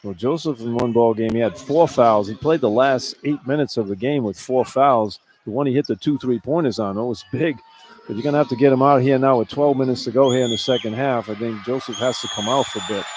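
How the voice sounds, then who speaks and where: A man's voice: mid-range voice, fairly quick, a little mellow. A man giving informal, plain-spoken color commentary on a basketball game, repeating points for emphasis, from an arena broadcast position. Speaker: radio color analyst. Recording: clear arena ambience noise. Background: arena ambience.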